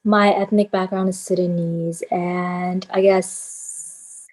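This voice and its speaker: A woman's voice: fairly low pitch, flat delivery, slow, darkish tone, poor audio. A woman in her twenties, from Canada, introducing herself on a video call panel in a casual, lightly self-deprecating way.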